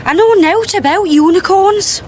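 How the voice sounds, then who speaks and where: An actress playing a wary, troubled woman who witnessed an arson, speaking bluntly and haltingly. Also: extremely high pitch, darkish tone, slight background noise. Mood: uneasy, guarded. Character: troubled working-class woman haunted by a unicorn vision. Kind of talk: radio drama acting.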